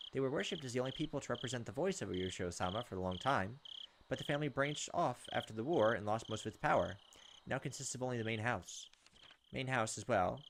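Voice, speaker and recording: A man's voice: on the low side, background noise. A man reading visual novel text aloud as a let's play host, moving between narration and casual asides. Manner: pauses to comment on the text.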